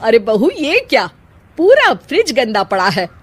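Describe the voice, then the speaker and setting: A woman's voice: a little high, wildly swooping pitch, unhurried, full character voice. A woman voicing a scheming, fault-finding middle-aged mother-in-law in a cartoon, emphatic and competitive. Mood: scolding.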